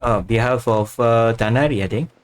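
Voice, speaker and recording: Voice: medium pitch, normal speed. A streamer giving casual playthrough commentary in short, murmured reactions and echoes of game dialogue.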